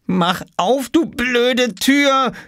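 An excitable little boy from a children's storybook, acted out by a man, speaks in a high, wildly varied pitch. He is bossy and impatient, exclaiming and scolding with urgency. The sound is dry and close. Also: normal speed, dry recording. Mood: urgent, desperate.

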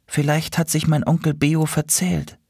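A man's voice: a little high, level tone, moderate pace, vocal fry, dark tone, husky, no room sound, studio quality, pitched above the performer's own voice. Man doing a timid, hopeful colorful bird. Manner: eager, hesitant, polite.

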